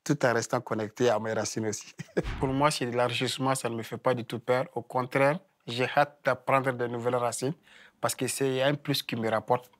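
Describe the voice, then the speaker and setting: A man from Africa describing his experience of settling in, warmly emphatic and often stressing his words. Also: mid-range voice, steady tone, normal speed, dry recording, studio quality. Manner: warmly emphatic, repeats "vraiment". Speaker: discussion participant, immigrant. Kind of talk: personal testimony.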